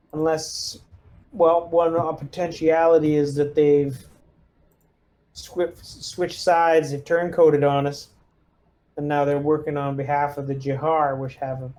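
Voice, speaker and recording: A man's voice: a little high, a little mellow. A man talking informally and hesitantly as a player in a tabletop roleplaying game, thinking aloud in character. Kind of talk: casual in-character table talk.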